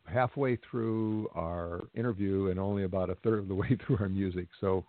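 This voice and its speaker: A man hosting a radio interview, talking haltingly with many ums and restarts. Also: low-pitched, fairly even intonation, no echo. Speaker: radio host. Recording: tinny phone-like recording.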